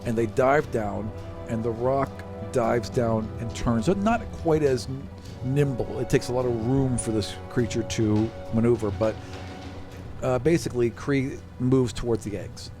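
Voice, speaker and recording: A man's voice: mid-range voice, measured pace. Man game master, music behind. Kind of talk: casual narration of a tabletop game.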